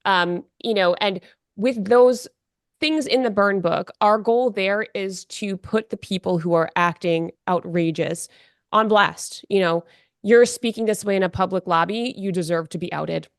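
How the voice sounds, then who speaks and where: A woman on a non-profit board explaining her group's work in a podcast interview, measured and full of "you know". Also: mid-range voice, smooth, no echo, clean studio sound.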